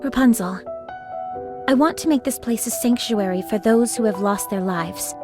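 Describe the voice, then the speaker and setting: An actress playing a composed, weary young female squad leader in a video game, speaking in a measured, formal way. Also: on the high side, close to an everyday voice. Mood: wistful. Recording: background music under voice.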